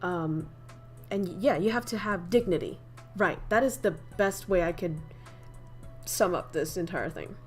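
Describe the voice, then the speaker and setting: A woman's voice: lowish voice, unhurried, soft background music. Chatty Vietnamese-accented young woman streamer. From northern Vietnam. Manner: chatty, laughs often, reads chat aloud. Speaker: streamer teaching idioms. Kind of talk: casual livestream teaching.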